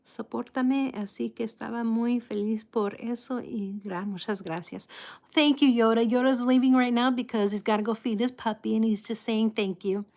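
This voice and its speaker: A woman from the United States hosting a casual live chat, with slightly impeded articulation and a halting, unhurried manner. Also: a little high, fairly even intonation, darkish tone. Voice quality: slightly impeded articulation from a false tooth and past stroke. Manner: halting, unhurried, greeting viewers by name. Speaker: live stream host.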